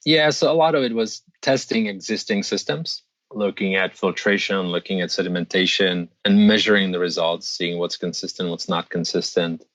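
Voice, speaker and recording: A man's voice: deep voice, fairly bright tone, no echo. A middle-aged man from the Czech Republic, a designer and metalsmith, giving thoughtful interview answers with frequent restarts. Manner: thoughtful, restarts often, measured.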